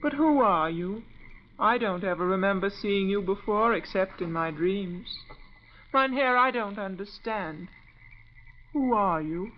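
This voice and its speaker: A woman's voice: mid-range voice, animated, a little slow, lightly acted. An actress playing an innocent, frightened young woman in an old-time radio drama, wary and earnestly pleading. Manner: earnest, fearful, breathless pleading. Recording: muffled, noisy vintage radio recording.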